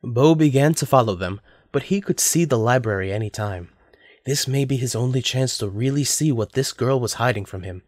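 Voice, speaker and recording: A man's voice: medium pitch, moderate pace, a little mellow, no echo. Male story narrator, dry recording.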